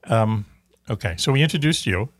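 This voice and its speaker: Joking Boston-accented host. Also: male voice, medium pitch, expressive, moderate pace, dry recording. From Boston area, USA. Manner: rambling, joking, swears casually. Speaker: radio talk show host. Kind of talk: casual banter.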